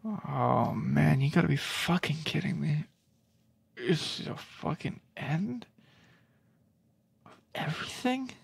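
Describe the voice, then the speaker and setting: Man playing resigned struggling musician, halting, gravelly, dry close recording, audio drama dialogue. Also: on the high side, very animated, no echo, exaggerated voice. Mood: dismayed, resigned. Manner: hesitant, stammering, sighing resignation. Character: weary, defensive struggling studio musician.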